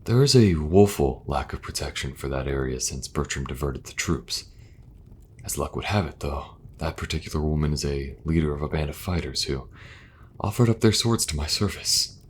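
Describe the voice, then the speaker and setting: A man voicing a weary, dutiful king in an audio drama, speaking in an earnest, measured way. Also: rumbling low, animated, a little hiss or hum. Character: weary, dutiful male king burdened by duty.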